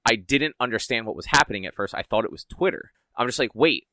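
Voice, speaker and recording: A man hosting a casual gaming podcast, chatting loosely with frequent swearing and quick excited bursts. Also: mid-range voice, no room sound. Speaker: podcast host. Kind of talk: casual chat. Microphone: home podcast mic on a laptop.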